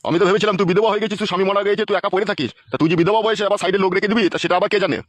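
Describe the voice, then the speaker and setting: A man's voice: sits high, racing, no room sound. A man voicing an older, self-pitying father-in-law in a comic cartoon, crude and indignant. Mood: outraged.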